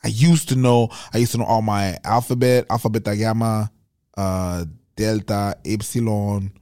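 A man's voice: fairly low pitch, steady tone, unhurried, warm tone, clean tone, no echo, studio quality. A man chatting casually and comedically in a slangy way, swearing freely and acting out bits of dialogue as he tells stories. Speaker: podcast speaker. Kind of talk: casual comedic storytelling chat.

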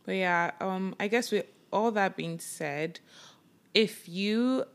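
A woman in her twenties, co-hosting a podcast in casual chat and backing up her co-host with quick agreement. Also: medium pitch. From Nigeria. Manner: agreeing, supportive, animated when quoting others.

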